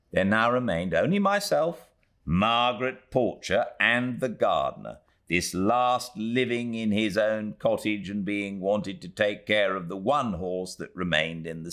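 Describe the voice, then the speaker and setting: Animated low-voiced British male narrator. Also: a little slow. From Britain. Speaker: audiobook narrator. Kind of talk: expressive literary narration.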